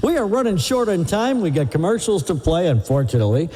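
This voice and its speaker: An older man hosts a casual live radio interview. His voice is fairly high and mellow, with lively swings in pitch, and it rings with a long reverb. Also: fast. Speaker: radio host interviewing a guest. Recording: very reverberant, long echo tail.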